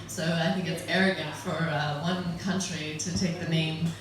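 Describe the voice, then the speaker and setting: A woman's voice: low voice, steady tone, moderate pace, noisy background. A middle-aged American woman doing stand-up comedy, chatty and wry, laughing at her own jokes. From United States. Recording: echoey room, voice from a distance.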